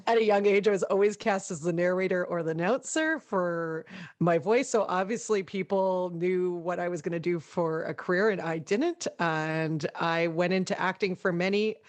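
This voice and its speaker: A young adult woman with a low voice, talking in a loose, chatty way. She laughs easily and fills her sentences with "like" as she tells her story on a podcast. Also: normal speed. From British Columbia, Lower Mainland. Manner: chatty, laughs often, uses "like" a lot. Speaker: podcast guest, broadcaster. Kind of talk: casual interview chat.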